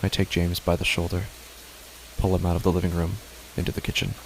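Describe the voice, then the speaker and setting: Give me a man with a very low, gravelly voice narrating horror slowly, with loud music behind. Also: monotone, a little hiss or hum, rough recording. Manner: slow, measured, hushed storytelling. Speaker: narrator and podcast host. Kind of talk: first-person horror narration.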